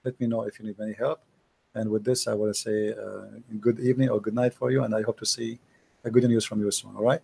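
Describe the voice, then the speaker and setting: A man's voice: fairly low pitch, little pitch movement, fast, gravelly, mellow, a little hiss or hum. A man hosting a call-in show, earnestly advising a caller in slightly non-native English. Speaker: host taking calls.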